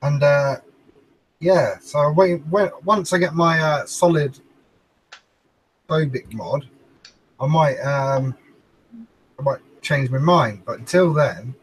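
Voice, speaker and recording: A man's voice: a little high, takes it slowly, long pauses, breathy edge, rough recording. A man chatting informally and bluntly as a panellist on a talk show. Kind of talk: casual chat.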